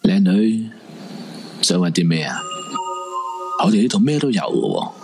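低沉神秘、慢條斯理嘅雜貨鋪老闆,男演員演繹,配樂襯底. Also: male voice, low voice, big pitch swings, unhurried, creaky, full character voice. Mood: sly, mysterious. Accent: Hong Kong Cantonese. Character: mysterious, sly male shopkeeper peddling strange pillows. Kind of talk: radio drama acting. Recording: music bed under the voice.

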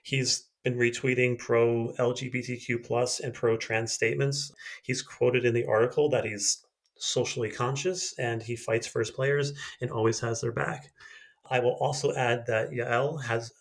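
A man's voice: mid-range voice, little pitch movement, measured pace. A man hosting a podcast, running through news in a conversational, informal way. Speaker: podcast host. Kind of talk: casual news rundown.

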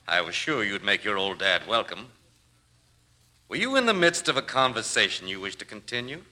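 A man's voice: on the low side, sing-song, moderate pace, bright, forceful tone, heavily acted. A man playing a middle-aged wealthy father in an old-time radio drama, suave and jovially polite with a hint of menace. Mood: jovial, insinuating.

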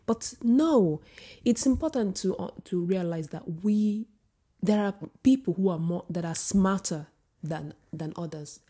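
A woman with a husky voice giving an opinionated vlog monologue, often restarting sentences and rising into emphatic outbursts. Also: medium pitch, animated, measured pace, mellow. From Sweden. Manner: many restarts and filler words. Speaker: vlogger. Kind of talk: casual opinion monologue to camera.

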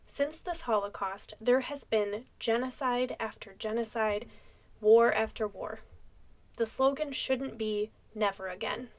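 A woman's voice: on the high side, a little slow. A young American woman hosting a podcast, reading scripted narration. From United States. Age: young adult. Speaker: podcast host.

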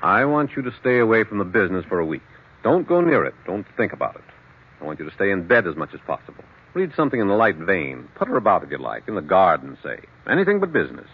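A narrow, tinny old radio sound frames an authoritative doctor. His deep voice swings in pitch for emphasis. He is firm, measured and reassuring, in vintage drama style. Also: very animated, breathy edge, full character voice.

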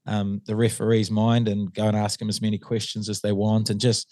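A New Zealand man with a fairly low, slightly hoarse voice, chatting as a guest. He hesitates a lot, with ums and false starts. The sound is dry and close, with no room around it. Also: completely flat delivery, brisk, a little mellow, no room sound. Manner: hesitant, many ums and restarts. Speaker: assistant rugby coach, guest. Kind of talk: casual interview chat.

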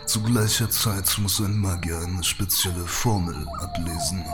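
A man voicing an old, brooding necromancer mage in an audiobook, speaking slowly, gravely and deliberately. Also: low-pitched, over music, pitched below the performer's own voice. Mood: grave, measured. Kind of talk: audiobook character voice.

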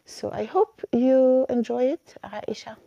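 Gravelly-voiced woman host. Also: on the high side, slow pace, mellow, dry recording, dull audio. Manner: friendly, patient, helps with words. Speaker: host, Arabic teacher. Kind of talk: casual live chat.

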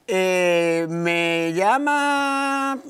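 A man from Puerto Rico, a comedy actor, recalls old shows with a high, light voice. He rambles and restarts his sentences while searching for words in easy conversation. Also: lively intonation, fairly bright tone, smooth. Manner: rambling storyteller, halting with many restarts. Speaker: comedian guest. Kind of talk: casual interview chat.

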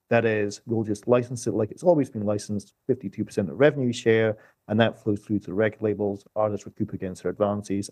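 A man's voice: fairly low pitch, dark tone, no room sound. A man speaking as an economist guest on a podcast, explaining things step by step with emphasis.

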